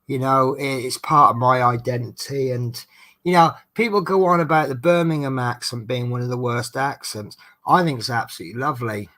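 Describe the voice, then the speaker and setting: A man's voice: medium pitch, brisk, sharp tone. Norfolk guy rambling away at home, loads of ums, chatty. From England. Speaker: vlogger. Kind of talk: casual chat while crafting.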